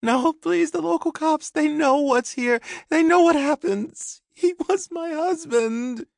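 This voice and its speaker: A man's voice: very high voice, slow, vocal fry, no echo, clean studio sound, voice pushed up, heavily acted. A man voicing a panicked young pregnant woman who sobs and pleads frantically. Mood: frantic pleading.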